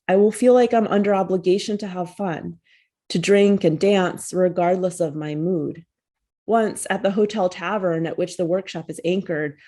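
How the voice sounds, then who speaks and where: A woman author reading her own personal essay aloud, at a measured and reflective pace, over a video call. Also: lowish voice, mellow. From United States.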